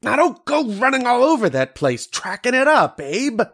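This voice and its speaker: A man playing a grave, haunted archaeologist in old-time radio horror, with dramatic, deliberate delivery. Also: very high-pitched, sing-song, a bit bright, slightly rough, full character voice. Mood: alarmed, scolding.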